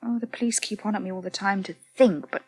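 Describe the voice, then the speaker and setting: A woman's voice: medium pitch, fast talker, darkish tone, slightly rough, natural voice. An actress playing a shaken, guarded, self-doubting woman in a radio soap, speaking hesitantly and troubled. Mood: distressed, doubting. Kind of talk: British radio soap acting.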